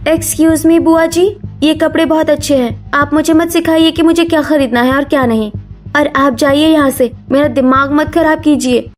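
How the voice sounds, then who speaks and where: A woman's voice: sits high, steady tone, normal speed, faint music behind, natural voice. A woman playing a spoiled, haughty young daughter-in-law in a cartoon family melodrama, snappish and defensive. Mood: indignant.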